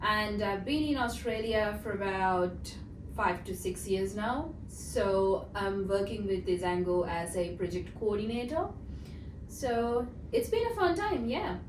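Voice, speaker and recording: A woman's voice: medium pitch, lively intonation, measured pace. A young woman from Sri Lanka, a project coordinator, chatting casually in an interview with lots of "like" and "um". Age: young adult. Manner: chatty, uses many fillers like "like" and "um". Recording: echoey room, mic at a distance, noisy.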